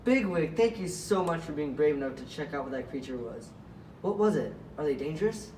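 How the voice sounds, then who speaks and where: A man's voice: fairly high pitch, animated, brisk, a little mellow, close to an everyday voice. Earnest, protective male rabbit chief acted by a man, amateur puppet show, very roomy distant recording. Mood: concerned. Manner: earnest, concerned, questioning. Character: caring, cautious male rabbit leader. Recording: echoey, noisy room, far from mic.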